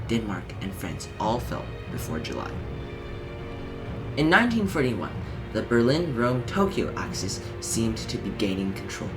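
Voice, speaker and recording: A man's voice: medium pitch, low-quality recording. A man reading a scripted educational narration in short, emphatic phrases. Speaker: audiobook narrator.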